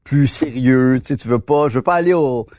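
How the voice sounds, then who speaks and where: A man in his fifties with a Québécois accent, hosting a casual podcast chat, animated and often restarting his words. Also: mid-range voice, a little fast, no room sound, studio quality. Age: 50s. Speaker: podcast host.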